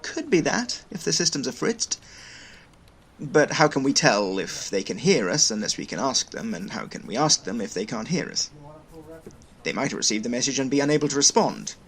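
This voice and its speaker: An actor playing a brisk, wordy station logistics manager in a sci-fi audio drama, explaining things with dry wit. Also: male voice, mid-range voice, very animated, fairly bright tone. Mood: careful, apologetic. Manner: chatty, wry, long-winded explanations.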